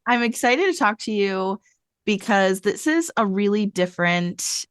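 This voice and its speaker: A woman's voice: mid-range voice, expressive, measured pace, smooth, dry recording, clean studio sound. A woman hosting a podcast, talking in an upbeat conversational way with frequent "like". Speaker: podcast host. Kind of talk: podcast interview.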